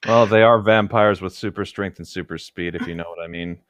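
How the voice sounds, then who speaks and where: Low-voiced man in casual podcast banter. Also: fairly even intonation, a little fast, a bit bright, no echo. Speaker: podcast co-host. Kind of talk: casual chat and amateur book reading.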